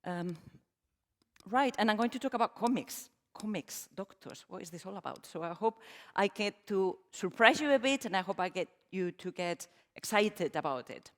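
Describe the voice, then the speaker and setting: A woman's voice: mid-range voice, animated, moderate pace. Spanish-accented woman speaking in a reverberant hall. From Spain. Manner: warm, self-deprecating, with fillers. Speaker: conference speaker. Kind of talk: conference talk. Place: large conference hall. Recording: reverberant hall, stage mic.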